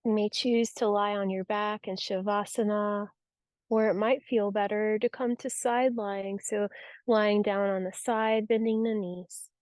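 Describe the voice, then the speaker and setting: A woman guiding a yoga nidra relaxation practice with soothing, unhurried, gentle instruction. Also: medium pitch, steady tone, no room sound. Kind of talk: guided relaxation meditation.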